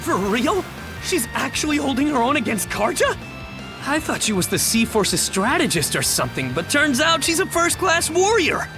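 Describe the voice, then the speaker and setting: Very high, animated young male adventurer. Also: normal speed, over music. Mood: startled, incredulous. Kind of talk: video game cutscene acting.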